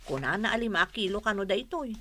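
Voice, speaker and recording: A woman's voice: mid-range voice, sing-song, quick pace, pushed and bright, slight background noise. A woman playing a plain-spoken, suspicious village housewife with a Filipino accent in a comedy radio drama. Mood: doubtful.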